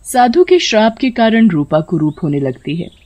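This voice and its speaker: A woman's voice: medium pitch, big pitch swings, moderate pace, a little mellow, dull audio. A woman storyteller reading scripted horror narration.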